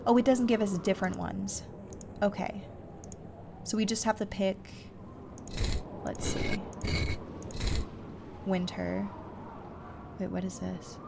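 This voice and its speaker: A woman doing casual let's-play commentary, thinking aloud in a halting, self-questioning way. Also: mid-range voice, fairly even intonation, creaky, background noise. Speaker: host playing a video game.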